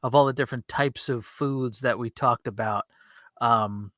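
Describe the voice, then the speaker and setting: A man's voice: medium pitch, steady tone, normal speed, fairly bright tone, no echo. Chatty man podcasting, dry close sound. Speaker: podcast host. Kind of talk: casual chat, bantering. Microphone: close USB desk microphone on an arm with pop filter.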